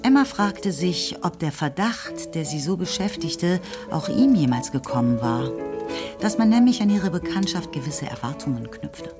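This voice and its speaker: A woman narrating a radio play in a measured, lightly ironic manner. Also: fairly low pitch, very animated. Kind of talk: scripted literary narration. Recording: narration over music.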